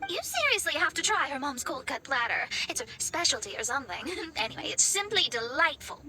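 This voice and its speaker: A woman's voice: sits high, big pitch swings, a little fast. A woman voicing a proud, earnest young female astrologer in an anime game. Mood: delighted. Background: music.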